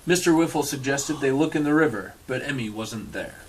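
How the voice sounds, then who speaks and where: A man's voice: mid-range voice, expressive, a little fast, a little hiss or hum. Man reading a storybook, dry and irreverent. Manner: dry, irreverent, swears in asides. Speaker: storyteller reading a picture book aloud to an audience. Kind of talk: storybook read-aloud with casual asides.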